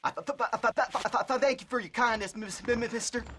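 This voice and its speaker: An actor playing a nervous, resentful outlaw with a severe stutter in a video game. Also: male voice, very high voice, a little fast, pushed and bright, a little hiss or hum. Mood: shaky gratitude. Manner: severe stutter, repeating syllables. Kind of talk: video game cutscene acting.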